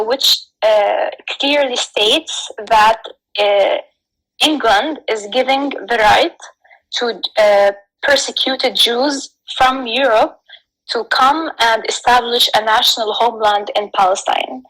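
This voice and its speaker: A woman from Lebanon giving explanatory answers as an interview guest, speaking haltingly with frequent pauses. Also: a little high, fairly bright tone, low-quality recording.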